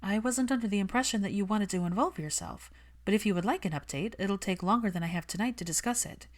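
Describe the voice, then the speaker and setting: Audiobook role: guarded young woman acted by a woman reader, raised pitch, wordy, reasoning, uneasy and defensive. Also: quick pace, natural voice. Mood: uneasy, careful. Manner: careful, argumentative, wordy. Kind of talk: audiobook character dialogue.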